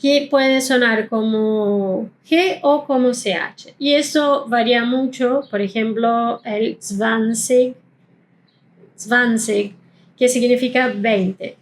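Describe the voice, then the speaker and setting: A woman's voice: a little high, a little hiss or hum, poor audio. Woman teaching German pronunciation. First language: not Spanish. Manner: explanatory, slows down to model sounds. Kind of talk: pronunciation lesson.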